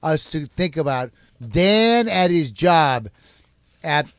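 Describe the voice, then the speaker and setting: A man hosting a comedy podcast, setting up an improv sketch in a rambling, halting way. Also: fairly high pitch, animated, fairly bright tone, no room sound, clean studio sound. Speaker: podcast host.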